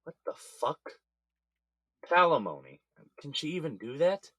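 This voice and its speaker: A man's voice: a little high, sing-song, measured pace, bright, forceful tone, slightly rough, dry recording, exaggerated voice. An actor playing a weary, blunt middle-aged businessman held in jail, in a naturalistic audio drama. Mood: indignant. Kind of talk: naturalistic scripted drama dialogue.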